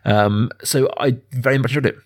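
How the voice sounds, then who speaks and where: A man's voice: medium pitch, fairly even intonation, fairly quick. A man co-hosting a casual film podcast, talking in a conversational, self-deprecating way. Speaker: podcast co-host.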